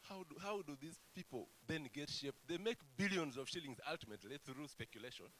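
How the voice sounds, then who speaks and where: A man speaking from the floor at a meeting, forceful and rhetorical, repeating himself for emphasis. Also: on the high side, expressive, a little fast, a little hiss or hum, poor audio. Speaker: conference delegate. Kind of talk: impassioned floor remarks at a regional meeting.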